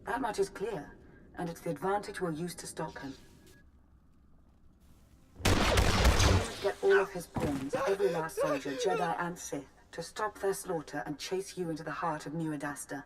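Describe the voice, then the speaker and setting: A woman playing a cool, calculating intelligence chief in a video game cutscene, measured, clipped and dry. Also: fairly low pitch, a little hiss or hum, lightly acted. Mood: grave briefing.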